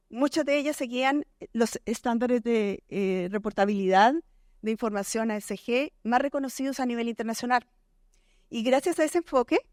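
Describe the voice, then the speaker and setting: A middle-aged Colombian woman speaks into a stage microphone as a panel moderator, her accent softened by long years in Chile. Her voice sits fairly high. The sound is close and dry, and she talks with many pauses and restarts. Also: dry recording. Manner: hesitant, many fillers and restarts. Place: conference stage.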